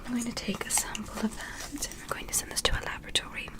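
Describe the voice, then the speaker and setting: A woman playing a polite, meticulous alien doctor in a whispered ASMR medical roleplay, gentle and methodical, often checking with questions. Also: on the high side, steady tone, quick pace, close to an everyday voice. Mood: calm, attentive.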